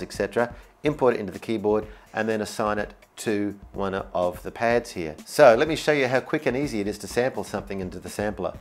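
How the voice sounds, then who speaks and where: A man giving an upbeat, informal and enthusiastic instructional product demo, explaining features as he goes. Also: on the low side. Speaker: product demonstrator. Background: keyboard music.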